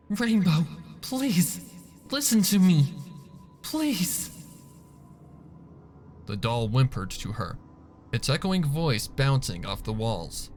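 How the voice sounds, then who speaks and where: A man reading fan fiction aloud as a scripted, dramatic story narration. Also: mid-range voice. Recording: music bed under the narration.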